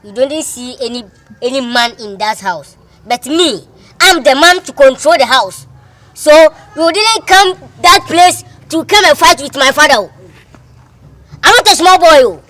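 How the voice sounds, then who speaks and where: A woman's voice: fairly high pitch, animated, measured pace, fairly bright tone, dry recording. A woman with a Ghanaian accent playing a hot-headed, defiant daughter guarding her father's land, scolding and repeating herself in film drama dialogue. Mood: indignant, defiant. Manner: confrontational, scolding, repetitive.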